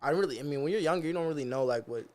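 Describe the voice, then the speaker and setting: A man's voice: fairly high pitch, rapid-fire, a little mellow. A young man from the Seattle area, a guest musician chatting casually in a podcast interview, talking in slang and quoting himself. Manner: laid-back slangy talk, quotes himself.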